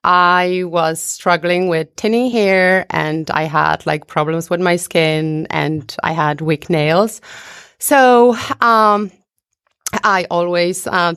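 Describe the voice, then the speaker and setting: A woman answering interview questions conversationally as a guest, with halting, hesitant phrasing. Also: on the low side, fairly bright tone, clean tone, dry recording, studio quality. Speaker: interview guest, beauty product founder.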